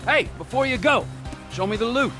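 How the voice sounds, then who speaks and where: Very high, sharp excitable agent. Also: male voice, moderate pace, soft background music, full character voice. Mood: urgent. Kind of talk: video game cutscene acting.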